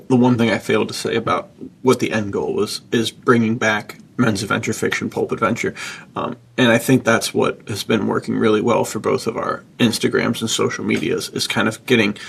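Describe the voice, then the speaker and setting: A man with a husky, gravelly voice, hosting a casual podcast discussion in an encouraging, opinionated manner. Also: lowish voice, level tone, moderate pace, fairly bright tone, slight background noise. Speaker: podcast host.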